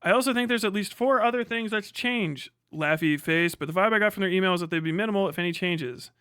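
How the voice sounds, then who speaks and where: A man's voice: high-pitched, fast, bright, forceful tone, clear, resonant tone, no room sound, studio quality. An American man livestreaming a casual reaction, reading aloud and breaking off into incredulous, sweary asides. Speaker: livestream host.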